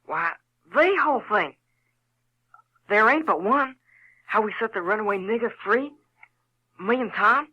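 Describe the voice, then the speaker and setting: A bold country boy from an audio play, with a Southern accent. The voice is high and very bright, pushing eagerly and insistently at whoever listens. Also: expressive, measured pace, pauses often, highs cut off, exaggerated voice. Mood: proud, eager. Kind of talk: audio theater acting.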